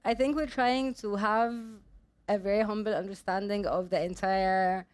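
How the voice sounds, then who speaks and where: A woman's voice: medium pitch, normal speed, clean tone. Hesitant, laughing young woman designer. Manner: laughing often, hesitant fillers. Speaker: designer and studio owner. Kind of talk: live onstage Q&A.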